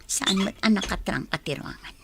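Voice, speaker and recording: A woman's voice: mid-range voice, moderate pace, vocal fry, background noise, natural voice. A woman playing a headstrong, argumentative young wife and daughter in an Ilocano radio drama, with an Ilocano accent. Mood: curious, suspicious. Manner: insistent, argumentative.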